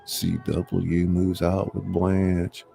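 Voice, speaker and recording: A man's voice: deep voice, monotone, extremely slow, creaky, dark tone. A man reading screenplay stage directions aloud as scripted narration. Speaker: narrator, reader. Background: faint music.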